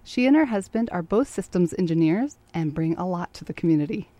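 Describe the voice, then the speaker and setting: A woman's voice: fairly low pitch, expressive, a little fast, mellow, dry recording. A woman hosting a community interview show, asking friendly, encouraging questions. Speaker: host.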